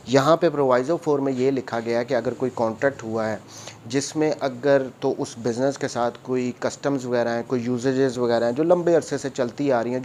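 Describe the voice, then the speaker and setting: A man's voice: medium pitch, darkish tone, a little hiss or hum. A man with a Pakistani accent giving an explanatory law lecture, mixing in English legal terms and repeating and rephrasing his points in a didactic way.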